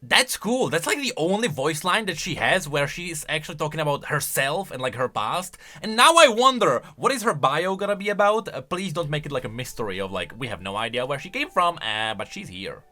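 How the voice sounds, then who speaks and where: Bright, animated man doing game commentary. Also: on the high side, brisk, hardly any pauses. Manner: expressive, conversational, musing aloud. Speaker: video game lore commentator. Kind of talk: casual reaction commentary on game voice lines.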